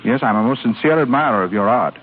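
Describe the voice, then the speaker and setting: Casting: male actor as a smooth, secretive romantic admirer, teasing and ardent, very lively pitch, tinny vintage radio sound. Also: fast talker, close to an everyday voice. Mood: ardent, courtly. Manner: smooth, teasing, with hesitant throat-clearing. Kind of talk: 1930s radio drama acting. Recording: tinny, noisy 1930s radio broadcast.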